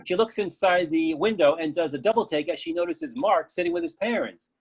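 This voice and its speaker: A man's voice: fairly high pitch, fairly quick, husky. A man reading stage directions as scripted narration.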